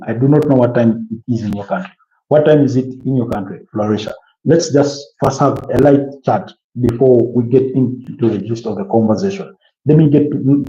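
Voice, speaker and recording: A man's voice: medium pitch, mellow, reverberant, lo-fi sound. A man from Uganda hosting a live stream, chatting casually and answering viewer comments with many restarts and fillers. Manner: halting, repeats words, many fillers.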